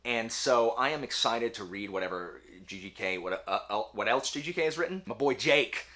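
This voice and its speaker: A man's voice: sits low, bright, forceful tone. A man chatting casually to viewers about his reading in an informal, rambling, slangy way, as host of his own video. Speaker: book reviewer, video host.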